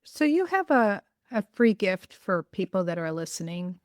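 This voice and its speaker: A woman's voice: mid-range voice, sing-song, normal speed, no room sound, studio quality. A woman hosting a podcast, chatting casually and telling personal stories with enthusiasm. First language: bilingual in Greek. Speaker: podcast host. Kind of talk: casual interview chat.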